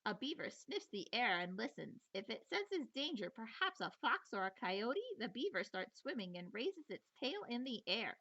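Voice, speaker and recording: A woman teacher reading a children's nature book aloud in an expressive, playful storytelling manner, with chatty asides. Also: on the high side, normal speed, hardly any pauses, lo-fi sound.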